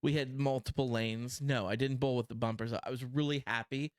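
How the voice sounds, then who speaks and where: A man's voice: medium pitch, fairly even intonation, brisk, dry recording, clean studio sound. A man in his thirties with a Pittsburgh accent, co-hosting a podcast in a rambling, self-deprecating casual chat. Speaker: podcast co-host.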